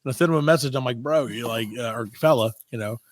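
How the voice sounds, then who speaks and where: Animated man telling stories, dry close sound. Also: mid-range voice, fast talker, no room sound. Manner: animated, profane, acts out quoted dialogue. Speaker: comedy podcast host. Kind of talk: casual comedic storytelling chat.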